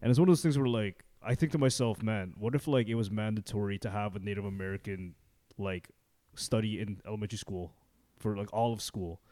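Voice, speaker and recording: A man's voice: lowish voice, fairly quick, mellow. A man born and raised in Canada, chatting loosely as a podcast co-host, full of "like" and "man". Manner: loose and rambling, lots of "like" and "man". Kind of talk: casual chat.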